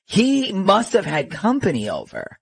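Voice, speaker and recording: A man's voice: high-pitched, wildly swooping pitch, unhurried, creaky, slight background noise. A man hosting a radio show, bantering playfully with a caller.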